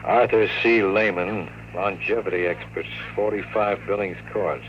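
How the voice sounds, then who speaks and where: An actor playing a cocky, wisecracking private detective in a 1950s radio drama, breezy, slangy and wry. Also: male voice, sits low, steady tone, moderate pace, a little hiss or hum, natural voice. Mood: cool, businesslike. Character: cocky wisecracking male private detective, narrating his case. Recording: muffled old radio recording, music behind.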